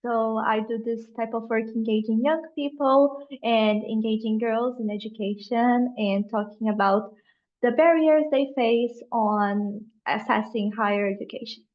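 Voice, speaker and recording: A woman's voice: on the high side, steady tone. Young woman on muffled audio. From Brazil. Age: 20s. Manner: earnest, a bit halting. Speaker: panelist, student delegate. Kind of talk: casual panel introduction. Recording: dull, lo-fi call audio.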